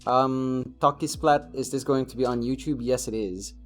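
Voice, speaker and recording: A Filipino man hosting a podcast with a relaxed, easygoing manner. His voice sits at a middle pitch, and soft guitar music plays faintly under it. He reads chat messages aloud and answers them as he goes. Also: moderate pace. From Philippines. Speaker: podcast host.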